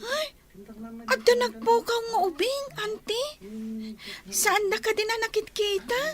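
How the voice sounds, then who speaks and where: A woman's voice: very high-pitched, measured pace, crowd noise. A woman playing a timid young schoolgirl with a thin, childlike voice in a radio drama. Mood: worried. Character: young schoolgirl, timid, pleading with her aunt.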